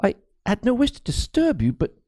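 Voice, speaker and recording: A man voicing a fearful young medieval priest in an audiobook, speaking in a halting, anxious, stammering way. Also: high-pitched, wildly swooping pitch, mellow, no echo, clean studio sound, voice pushed up, exaggerated voice. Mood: nervous, faltering.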